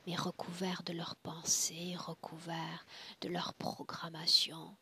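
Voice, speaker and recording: A woman guiding a trance session in a solemn, deliberate, commanding way, often dropping to a breathy hush. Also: lowish voice, completely flat delivery. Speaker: spiritual hypnosis session guide. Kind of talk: guided trance session, slow solemn address.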